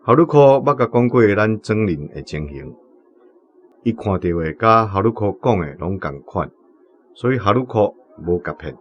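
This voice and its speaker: A man playing a measured village uncle with a Taiwanese accent, reading aloud in a radio drama. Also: on the low side, lively intonation, lo-fi sound, a little hiss or hum, lightly acted. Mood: measured, tactful.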